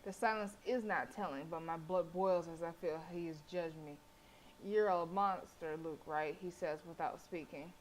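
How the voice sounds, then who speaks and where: A woman's voice: on the low side, normal speed, poor audio. A sassy, colloquial woman reading and reacting aloud as she streams a game. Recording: faint background noise.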